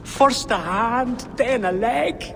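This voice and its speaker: A man's voice: very high voice, slow, gravelly, slight background noise, exaggerated voice. An actor playing a frightened male prisoner, who pleads in panic. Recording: game audio with long reverb and music.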